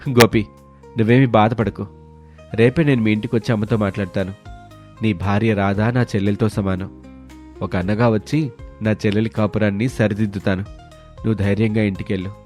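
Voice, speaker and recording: A man playing a kind, helpful village fruit seller in a moral story, speaking in an earnest, measured way. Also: lowish voice, steady tone, darkish tone, faint music behind, natural voice. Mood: reassuring. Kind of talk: dramatised moral story acting.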